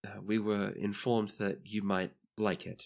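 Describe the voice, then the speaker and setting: Man acting a deep-voiced, formal royal servant. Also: little pitch movement, unhurried, vocal fry, a little mellow. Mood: deferential.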